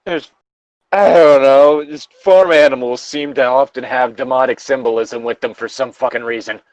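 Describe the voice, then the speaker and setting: A man's voice: mid-range voice, fairly even intonation, normal speed, darkish tone, dry recording, muffled recording. A man reading horror stories as a co-host, with casual, sardonic, sweary asides. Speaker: co-host reading video game creepypastas.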